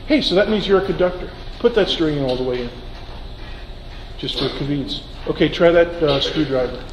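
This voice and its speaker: A high-pitched male science teacher runs an informal demonstration, handing out short instructions. His voice echoes around a lecture hall, with some noise behind it. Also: expressive, fairly quick. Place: large lecture auditorium.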